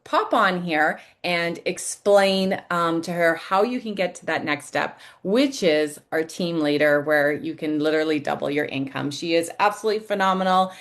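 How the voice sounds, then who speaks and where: A woman's voice: on the low side, moderate pace, hardly any pauses. A woman hosting a business call, casually and enthusiastically introducing the next speaker. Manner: enthusiastic, uses fillers. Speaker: host introducing a guest.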